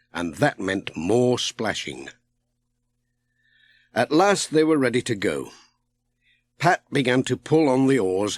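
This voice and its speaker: A man narrating a children's audiobook in a measured, expressive storytelling style. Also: medium pitch, slightly rough.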